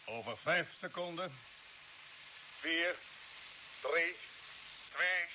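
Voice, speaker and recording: An actor playing a decisive spaceship captain in a radio drama, giving orders with brisk authority. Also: male voice, a little high, noisy background. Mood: commanding.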